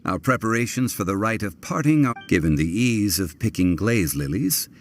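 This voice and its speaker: An actor playing a composed, erudite gentleman consultant in a video game, speaking in a formal, measured, thoughtful way. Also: on the low side, lively intonation, slight background noise. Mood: calm, reflective.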